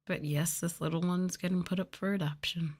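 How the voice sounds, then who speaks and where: Casting: woman, low-set voice, soft-spoken livestreamer doing casual ASMR chat. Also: steady tone, brisk. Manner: softly spoken, now and then trailing into whispers. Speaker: livestreamer, VTuber host.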